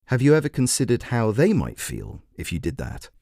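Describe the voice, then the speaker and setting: Man reading as a probing woman radio host, lively intonation. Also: medium pitch, dry recording, studio quality. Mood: persuasive. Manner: measured, questioning, persuasive. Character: brisk, probing female radio phone-in host. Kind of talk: talk radio presenting.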